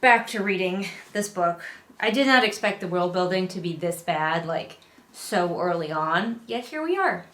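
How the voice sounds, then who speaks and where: A woman's voice: fairly low pitch, animated, normal speed, a bit bright. A middle-aged woman giving casual, exasperated read-along commentary on a book, sarcastically acting out quotes as she goes. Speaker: book review host.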